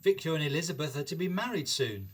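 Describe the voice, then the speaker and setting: A man's voice: on the high side, lively intonation, fast talker, slightly rough. A man playing a genial, bantering young friend in a radio drama. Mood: jovial, teasing. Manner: jovial, bantering. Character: genial, teasing young male friend of scientist. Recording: slightly noisy with clicks.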